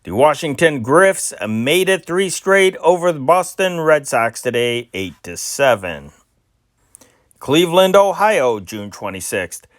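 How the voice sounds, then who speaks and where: A man's voice: on the high side, sing-song, unhurried, no echo, clean studio sound. A man reading old newspaper sports stories aloud as an amateur host, with a sing-song delivery that rises and falls sharply, and small slips and restarts. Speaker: newspaper reader, channel host.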